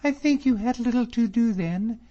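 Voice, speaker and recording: A man reading an audiobook, voicing a proud, sharp-tongued young Scottish woman in a high put-on voice. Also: measured pace. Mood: cold, indignant. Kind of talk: audiobook character voice. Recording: slightly muffled recording.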